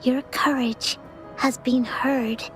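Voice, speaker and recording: Patient young girl companion for a video game: high, softly measured, over faint music. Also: little pitch movement. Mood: solemn, encouraging. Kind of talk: video game character dialogue, reading aloud.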